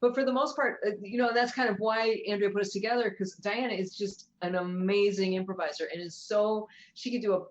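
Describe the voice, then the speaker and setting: A woman's voice: mid-range voice, fairly even intonation, a little fast, hardly any pauses, lo-fi sound. A woman guest improviser chatting casually in an interview, laughing easily and restarting her sentences.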